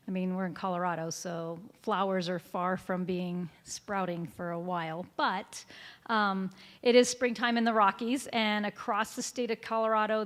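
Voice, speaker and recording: A woman chairing a school board meeting who gives friendly, chatty remarks with fillers. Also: mid-range voice.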